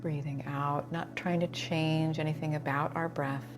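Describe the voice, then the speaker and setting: A woman leading a guided relaxation as a yoga instructor, soothing and unhurried, with pauses between phrases. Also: sits low, flat delivery, over music.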